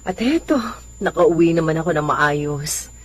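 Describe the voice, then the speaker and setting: Gentle, devout elderly mother. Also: sits low, expressive, a little slow. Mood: gentle, reassuring. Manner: pious, reassuring. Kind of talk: Filipino religious radio drama acting.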